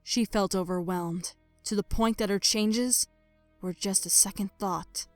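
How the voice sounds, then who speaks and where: A woman's voice: medium pitch, fairly even intonation, measured pace, dry recording. A woman reading scripted story narration in a dramatic audio fiction style. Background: music.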